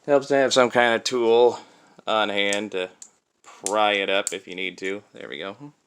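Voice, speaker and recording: A man's voice: lowish voice, steady tone, unhurried, fairly bright tone, clean tone. A man talking informally through a hands-on toy review, explaining things step by step. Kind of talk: casual hands-on product review.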